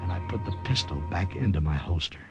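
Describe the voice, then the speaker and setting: An actor playing an excitable, slangy American airman who tells his war story in a dramatic old radio thriller style. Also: low-pitched, muffled recording. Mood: grim narration. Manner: dramatic, slangy, halting under strain. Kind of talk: 1940s-50s radio drama narration and acting. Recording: old-time radio recording with music.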